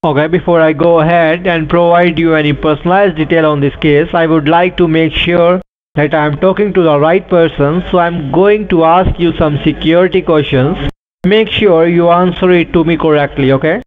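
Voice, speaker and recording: A man's voice: a little high, steady tone, normal speed. A man posing as an officer on a phone call, speaking in an insistent, formal way and repeating his instructions. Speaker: scam caller posing as an officer. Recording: tinny phone line.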